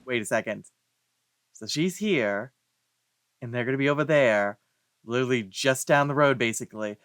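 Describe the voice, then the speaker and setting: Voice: medium pitch, normal speed, a bit bright, dry recording. A let's play streamer giving casual gameplay commentary, playfully thinking aloud.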